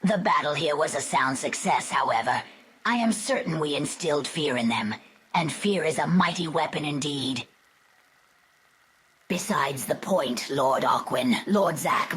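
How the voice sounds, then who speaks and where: Lady doing a undead game minion, really deep and super breathy raspy, cool n loyal, talks formal. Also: animated, fairly bright tone, full character voice. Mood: composed, persuasive. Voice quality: hoarse, breathy, whispery. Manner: formal, measured. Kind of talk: video game cutscene acting.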